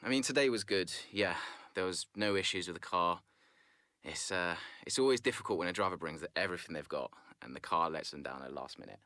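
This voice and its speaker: Deep-voiced, guarded racing driver. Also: male voice, sharp tone, no room sound, studio quality. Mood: cautiously positive. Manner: measured, hesitant, media-trained. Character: guarded, diplomatic male racing driver in interview. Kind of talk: naturalistic game cutscene acting.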